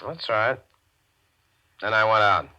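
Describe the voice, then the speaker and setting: A man's voice: on the low side, slow, sharp tone, full character voice. A man playing a guarded, terse bodyguard and private detective in a 1940s radio crime drama. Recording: dull old radio recording.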